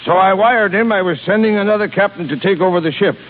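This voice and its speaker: An actor playing a gruff, impatient shipping boss in his sixties, bossy and full of salty nautical talk, in 1930s radio style. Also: high-pitched, quick pace, lightly acted. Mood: blustering. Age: 60s. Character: blustery, impatient old seafaring shipping boss. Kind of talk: 1930s radio comedy-drama acting. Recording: scratchy, narrow 1930s radio recording, reverberant.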